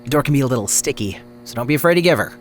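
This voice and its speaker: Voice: medium pitch, lively intonation, racing, a little hiss or hum, close to an everyday voice. An actor playing a folksy small-town motel clerk. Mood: easygoing. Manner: folksy, easygoing chatter.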